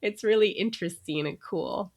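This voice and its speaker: A woman's voice: mid-range voice, normal speed, a little mellow. A woman co-hosting a podcast in casual chat, talking easily and laughing often between remarks. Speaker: podcast co-host.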